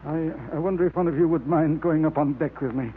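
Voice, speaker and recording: Tinny old radio, jittery higher-voiced man actor. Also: brisk, lightly acted. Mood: defensive, faint. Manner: stammering, jittery, pleading. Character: nervous, frightened, evasive man under threat. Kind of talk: 1930s-40s radio drama acting. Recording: thin, noisy vintage radio recording.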